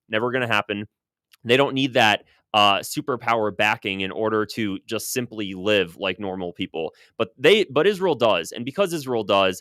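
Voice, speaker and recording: An American man delivers off-the-cuff political commentary. His voice is mid-pitched and smooth, with a crisp, clear tone. He is insistent and opinionated, leaning on repeated phrases for emphasis. The sound is dry, with very little room in it. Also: a bit bright, no echo. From United States.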